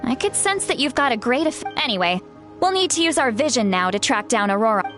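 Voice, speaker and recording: A woman's voice: fairly high pitch, very animated, a little fast. A woman playing a fondly fussy, self-assured shaman elder who wants to seem youthful, in a video game. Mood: confident, helpful. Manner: chatty, self-assured, lightly fretful about seeming old-fashioned. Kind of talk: video game character acting. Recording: background music under the voice.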